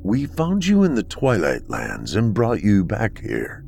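A man voicing a calm, androgynous bunker dweller from the far future, speaking slowly and sternly. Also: fairly low pitch, vocal fry, a little hiss or hum, pitched above the performer's own voice, exaggerated voice. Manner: slow, measured, stern.

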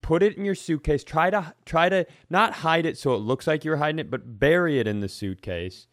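A man's voice: fairly high pitch, lively intonation, a little fast, no room sound, clean studio sound. A man chatting casually as a comedy podcast host, sarcastic and given to acting out the people he describes. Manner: sarcastic, acts out quoted characters.

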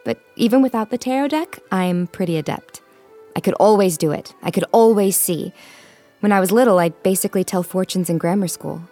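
An actress playing a young tarot-reading psychic who is confident and teasing. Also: female voice, medium pitch, fairly quick, mellow, faint music behind, natural voice. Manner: teasing, dry, self-assured. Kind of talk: comedic audio drama.

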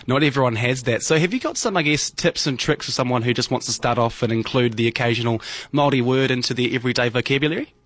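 A chatty man with a New Zealand accent hosts a breakfast radio show, talking quickly in a mid-range voice. The studio sound is close and dry with no echo. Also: medium pitch, a bit bright. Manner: friendly, upbeat, chatty. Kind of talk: casual radio interview.

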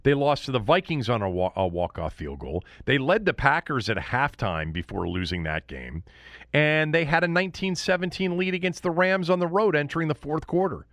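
A man's voice: on the high side, very animated, normal speed, fairly bright tone, no room sound. A man hosting a sports podcast, giving casual, opinionated recaps in an emphatic, conversational way. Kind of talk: casual sports recap commentary, talking solo to listeners.

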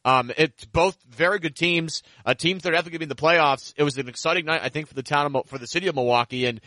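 Bright-voiced man hosting a sports podcast. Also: on the high side, rapid-fire, dry recording. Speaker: podcast host.

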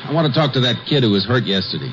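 An actor playing a steady, decisive Texas Ranger who also narrates, in 1950s western radio drama style. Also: male voice, mid-range voice, very fast, slight background noise. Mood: brisk, firm. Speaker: lawman and first-person narrator. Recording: muffled old radio recording, loud music.

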